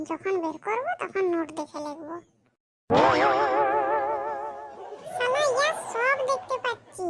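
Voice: fairly bright tone, full character voice. An actor voicing a cheeky, grumbling schoolboy in a comedy cartoon, with a childlike, colloquial way of talking. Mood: scheming. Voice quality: high-pitched, childlike. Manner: colloquial, grumbling, mildly foul-mouthed. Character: cheeky grumbling schoolboy, unprepared for exam, scheming to cheat.

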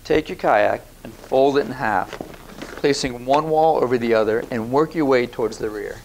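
A man in his thirties talks through a setup step by step, calm and steady. His voice has a gravelly edge at a middle pitch. There is a faint hiss under the lapel mic. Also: normal speed, rough recording. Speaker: product demonstrator. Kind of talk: scripted instructional voice-over.

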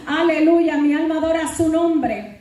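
High-voiced woman pastor preaching in echoing hall. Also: unhurried, warm tone. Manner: fervent preaching peppered with hallelujahs. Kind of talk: sermon. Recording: very echoey hall, voice from far off.

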